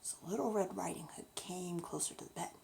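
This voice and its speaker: A woman narrating a bedtime story in a dramatic, expressive, unhurried way. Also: fairly low pitch, gravelly, background noise.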